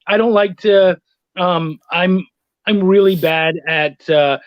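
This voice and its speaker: A man's voice: high voice, takes it slowly, dry recording, muffled recording. A man speaking as a guest in a casual chat, earnest and conversational, over an online call. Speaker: guest, freelance comics editor.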